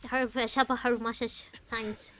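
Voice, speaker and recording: A woman's voice: on the high side, flat delivery, normal speed, a bit bright, faint music behind. A woman streamer giving casual commentary on a story game and talking back to its characters.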